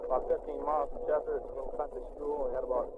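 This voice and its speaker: A man's voice: mid-range voice, level tone, racing. A young man from Montana, a Marine, giving plain-spoken, modest answers in a radio interview aboard a ship. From Montana, USA. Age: young adult. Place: aboard a navy landing ship. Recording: tinny lo-fi 1940s radio recording with hiss.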